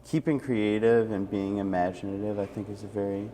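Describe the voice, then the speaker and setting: A man's voice: on the low side, little pitch movement, fairly quick, dark tone, slight background noise. A man hosting a friendly conversational interview in an encouraging, affirming manner. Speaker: interviewer and host.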